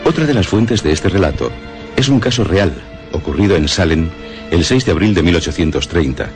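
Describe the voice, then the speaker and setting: A man's voice: low-pitched, expressive, a little fast. A man presenting on radio, reading a scripted literary introduction. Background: music.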